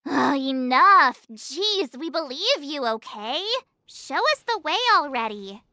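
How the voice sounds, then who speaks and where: A woman's voice: sits high, very animated, slow pace, pushed and bright, no echo, clean studio sound, full character voice. A woman playing a brash, self-important little girl gang boss in a video game, childlike and bossy. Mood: exasperated.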